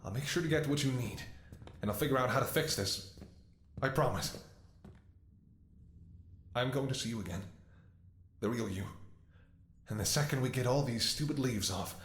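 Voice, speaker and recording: A man playing a devoted, desperate doctor caring for his infected lover in an emotional, halting ASMR roleplay, with an echoing sound. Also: medium pitch, close to an everyday voice. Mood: determined, tender. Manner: halting, stammering, emotional delivery. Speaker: voice actor in an audio roleplay. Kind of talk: ASMR dramatic roleplay monologue.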